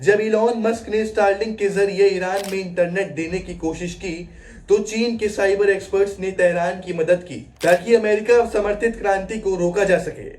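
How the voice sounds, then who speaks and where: A man's voice: high voice, fairly even intonation, normal speed, a little mellow, far from the mic, slight background noise. A man delivering a scripted news explainer in a dramatic manner, posing rhetorical questions. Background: background music.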